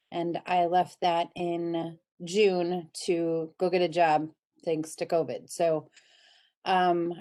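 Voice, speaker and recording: A woman from Illinois introducing herself to a class in a chatty, lively way. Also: lowish voice. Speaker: student.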